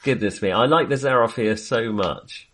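A man's voice: mid-range voice, normal speed, fairly bright tone. A man co-hosting a film podcast, chatting casually and tentatively, often putting things as questions.

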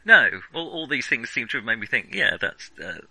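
A man's voice: medium pitch, big pitch swings, a little fast, bright, forceful tone, breathy edge, poor audio. A man hosting a casual film discussion, talking in a hesitant, rambling way and often interrupting himself. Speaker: film podcast host.

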